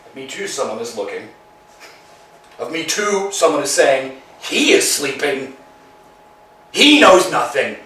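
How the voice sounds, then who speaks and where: An actor playing an anxious, philosophizing tramp in a staged reading, swinging from hushed musing to emphatic outbursts. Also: male voice, fairly high pitch, sing-song, a little slow, long pauses, full character voice. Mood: brooding. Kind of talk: amateur staged play reading. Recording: echoey room, distant mic.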